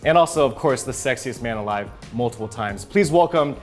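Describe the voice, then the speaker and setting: A man's voice: medium pitch, animated, a little fast, soft background music. A man hosting a casual interview show, talking with an upbeat, enthusiastic manner in a cafe after hours. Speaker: host.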